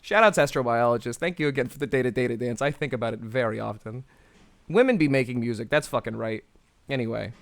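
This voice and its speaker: A man giving casual let's-play commentary in an excitable way, full of profanity and laughing asides. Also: mid-range voice, fast talker, gravelly. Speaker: game streamer.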